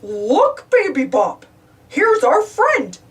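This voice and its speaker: A woman voicing a friendly big male dinosaur in a storybook, gently explaining. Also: high-pitched, huge pitch swings, takes it slowly, recorded from a distance, pitched above the performer's own voice, full character voice. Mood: gentle.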